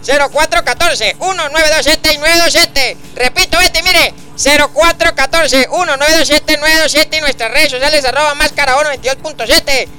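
A man's voice: very high-pitched, normal speed, hardly any pauses, pushed and bright, pitched above the performer's own voice, exaggerated voice. A man putting on a chirpy radio sidekick voice who reads out contact numbers in a patter.

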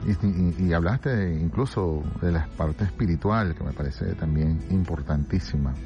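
A man's voice: deep voice, moderate pace, lo-fi sound. A man hosting a radio interview, speaking reflectively and searching for his words. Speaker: radio host and psychiatrist. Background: background music.

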